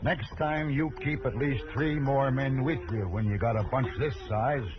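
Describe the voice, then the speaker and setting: An American actor playing a proud, stubborn, middle-aged cattle rancher with a rural western accent and a curt, folksy, commanding manner in a radio western. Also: male voice, medium pitch, a little slow, music in the background, natural voice. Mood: gruff, commanding. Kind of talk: 1950s radio western acting. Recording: muffled, noisy old radio recording.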